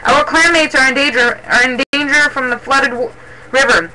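A man's voice: very high voice, fairly even intonation, moderate pace, pushed and bright, slight background noise, pitched above the performer's own voice, heavily acted, low-quality recording. A man voicing a commanding, concerned female warrior cat in a storybook, calling out orders. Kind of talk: dramatic storybook character reading.

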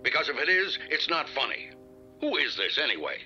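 A man's voice: a little high, sing-song, fairly quick, pushed and bright, faint music behind, exaggerated voice. An actor playing a curt, irritated man answering the telephone, heard through a phone filter in a radio drama. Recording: dull, muffled phone line.

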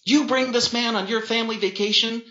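A man voicing a brash, fiery young woman, a scolding, protective sister with rapid-fire, sweary delivery in an audiobook story. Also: on the high side, big pitch swings, pushed and bright, echoey room, dull audio, voice pushed up. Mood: furious.

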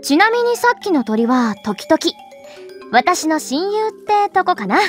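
A woman playing a small, bossy, breezy goddess in a Japanese anime game, casual, cheeky and quick to scold. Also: extremely high pitch, sing-song, full character voice. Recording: music behind the voice.

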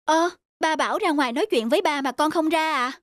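A man playing a gentle, patient father who explains things to his small son in a cartoon. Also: very high-pitched, fast. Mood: patient, earnest. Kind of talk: cartoon dialogue.

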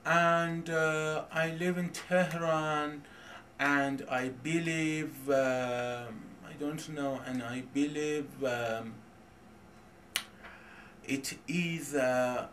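Very slow, halting man with Persian accent. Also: a little high, a bit bright. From Iran. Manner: halting, many pauses and fillers. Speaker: vlogger. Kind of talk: hesitant self-introduction. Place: home in Tehran. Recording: distant mic, faint background noise.

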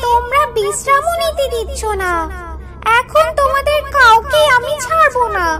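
A woman's voice: very high voice, moderate pace, sharp tone, a little hiss or hum, pitched above the performer's own voice. A woman voicing an angry, threatening female witch from a bamboo grove in a cartoon fairy tale. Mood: furious, threatening. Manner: threatening, scolding.

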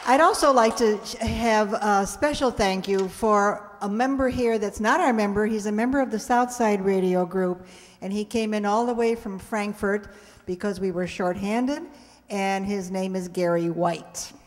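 A woman's voice: medium pitch, measured pace, a little hiss or hum. Woman in her sixties, mid-range voice, stage announcements, long hall reverb. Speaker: member of a radio players group giving closing announcements. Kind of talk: semi-scripted thanks and announcements to an audience. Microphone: stand microphone on stage, recorded by audience camera. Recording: echoey hall sound.

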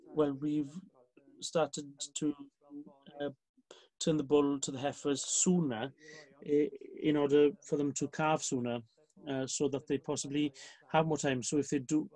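A farmer speaks in a barn with a slightly high, flat voice. He pauses often and fills gaps with hesitations. His talk is unhurried and plain. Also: low-quality recording. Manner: flat, hesitant with many fillers. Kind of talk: casual discussion. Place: farm barn outdoors.

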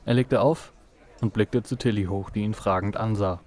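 A man's voice: fairly low pitch, moderate pace, darkish tone. A man reading scripted narration for an audio drama. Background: music.

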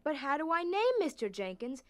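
A woman's voice: high-pitched, big pitch swings, moderate pace. A woman playing an earnest, stubborn, questioning young girl in an audio drama. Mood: frustrated. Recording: long hall reverb.

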